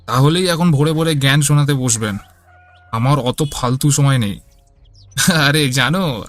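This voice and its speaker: A man's voice: fairly high pitch, a bit bright, no room sound, lightly acted. A man voicing a greedy young married son in a cartoon, self-assured and dismissive.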